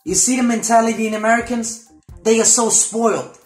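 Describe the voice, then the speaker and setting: A man's voice: extremely high pitch, fairly even intonation, a little fast. A man in his twenties giving blunt, opinionated commentary with casual swearing as host of a reaction video. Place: small room indoors, plain wall. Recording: roomy echo, faint music.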